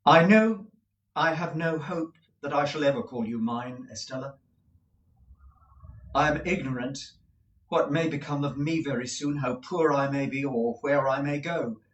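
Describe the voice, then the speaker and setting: A man's voice: medium pitch, a little slow, far from the mic, pitched above the performer's own voice, natural voice. A man reading aloud as an earnest young man who pleads in a trembling, devoted way. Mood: pleading. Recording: roomy echo.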